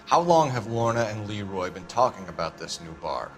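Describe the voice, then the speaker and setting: An actor playing a formal, clipped 1940s police detective questioning witnesses in a game cutscene. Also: male voice, fairly low pitch, very animated, normal speed, faint music behind. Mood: tense. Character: stiff, earnest male 1940s police detective. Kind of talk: video game cutscene acting.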